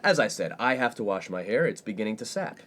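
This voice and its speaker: A man's voice: on the low side, very animated, quick pace, a bit bright. An actor playing a pompous, boastful young college man who fancies himself a ladies' man in a comic radio play. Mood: breezy. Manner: pompous, boastful, theatrical delivery. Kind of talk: 1950s-set comedy radio play acting.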